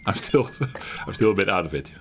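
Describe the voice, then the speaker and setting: Low, lively man musing. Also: slight background noise. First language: Dutch. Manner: halting, searches for words, laughs at himself. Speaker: retro gaming channel host. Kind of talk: reflective gameplay commentary.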